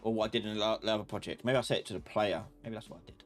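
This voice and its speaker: A man hosting a casual live software tutorial, thinking aloud and muttering or humming to himself as he works. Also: lowish voice, fairly even intonation, racing, soft background music. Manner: informal, muttering and humming while working. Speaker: host, software instructor.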